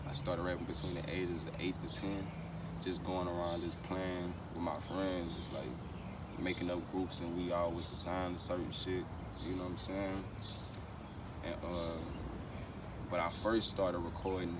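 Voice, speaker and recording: A man with a Louisiana accent chatting casually as an interviewed rapper, with heavy slang and frequent swearing. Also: low-pitched. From Baton Rouge, Louisiana, USA. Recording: far from mic, loud outdoor street noise.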